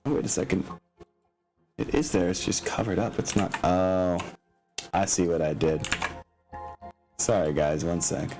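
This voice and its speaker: A man with a low voice runs a tabletop game as game master. He talks casually and drops apologetic, self-deprecating asides, with music playing behind him. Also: measured pace, pauses often. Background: background music.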